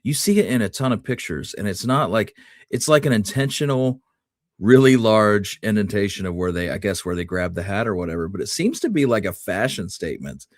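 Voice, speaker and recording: A man's voice: fairly low pitch, animated, a little fast, no room sound, studio quality. A man co-hosting a podcast, discussing history in an informal, conversational manner. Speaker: podcast co-host.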